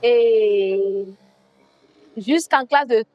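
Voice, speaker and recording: A woman's voice: on the high side, drawn-out words, no echo, highs cut off. A woman from Benin, telling her story earnestly in an interview and repeating key phrases for emphasis. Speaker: guest, activist and coach. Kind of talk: conversational storytelling.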